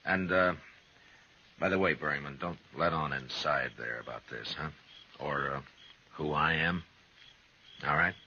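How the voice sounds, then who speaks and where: An actor playing a steady, authoritative American frontier marshal in 1950s radio western style, measured and terse. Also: male voice, rumbling low, slightly rough, full character voice. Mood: businesslike. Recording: dull, noisy 1950s radio recording.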